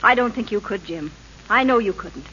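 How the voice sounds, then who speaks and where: A woman's voice: mid-range voice, animated, moderate pace, sharp tone. A woman acting a governor's passionate, indignant wife in an old radio drama, earnest and insistent. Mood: reproachful. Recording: muffled, noisy vintage radio recording.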